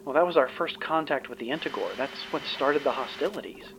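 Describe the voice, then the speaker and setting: A man's voice: expressive, brisk, lightly acted. A man playing a dry, practical starship engineer in an audio drama, wry and conversational. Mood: disbelieving. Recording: faint ship rumble behind.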